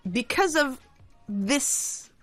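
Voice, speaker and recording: A woman's voice: high voice, drawn-out words, dry recording, soft background music. A woman co-hosting a radio show, chatting casually and making her points with emphasis. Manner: animated, emphatic, repeats points. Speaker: radio co-host.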